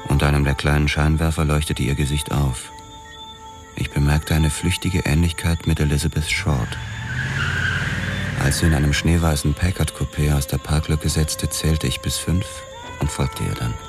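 An actor in a radio drama narrating in the first person as a detective, in a brooding, measured hardboiled style. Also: male voice, extremely low pitch, steady tone, over music.

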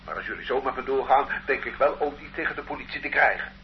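A man's voice: mid-range voice, quick pace, natural voice. An actor playing an ordinary working-class man under arrest in a radio play, bewildered and defensive. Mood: indignant. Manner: bewildered, defensive, plain-spoken. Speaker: suspect. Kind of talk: radio drama acting. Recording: dull lo-fi old radio recording with faint noise.

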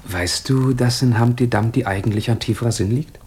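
A man's voice: medium pitch, fairly quick, darkish tone, slight background noise, lightly acted. A man playing a musing, teasing intellectual in an intimate radio drama dialogue, pensive and playful by turns. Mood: philosophical.

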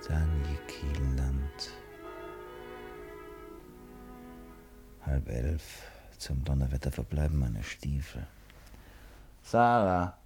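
A man's voice: extremely low pitch, slow, darkish tone. An actor playing a brooding, ironic, impulsive man who muses theatrically to himself in a radio play. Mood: sardonic musing. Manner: musing, self-questioning, theatrical. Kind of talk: radio play acting, interior monologue. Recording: studio radio play with faint music.

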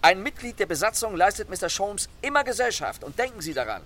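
A man playing a suave, mocking gentleman thief in a German radio play, with a theatrical, commanding manner. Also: high-pitched, big pitch swings, fast talker. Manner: theatrical, urbane, playfully threatening.

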